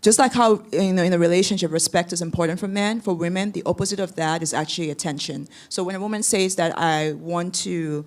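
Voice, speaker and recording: A man from Cameroon, a church congregant, speaking earnestly and haltingly, often restarting his sentences. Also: high-pitched.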